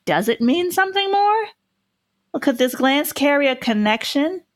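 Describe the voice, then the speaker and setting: A woman's voice: high voice, very animated, normal speed, dry recording, studio quality. A woman playing a soothing, persuasive announcer, reading a polished parody resort advertisement full of rhetorical flourishes. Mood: inviting, romantic. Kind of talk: scripted parody advertisement narration.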